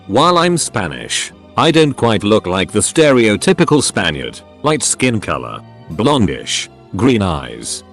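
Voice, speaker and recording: A man with a fairly low voice reads online posts aloud, edit notes included, his pitch rising and falling a lot. He stays even and uninvolved throughout. Soft music plays quietly behind him. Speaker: narrator. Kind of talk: reading Reddit posts aloud.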